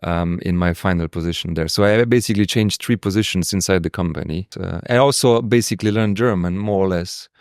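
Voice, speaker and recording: A man from Slovenia, a podcast guest talking casually in English, pausing often with fillers and restarts. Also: low voice, clear, resonant tone, dry recording, studio quality. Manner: hesitant, many fillers and restarts. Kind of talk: casual interview chat.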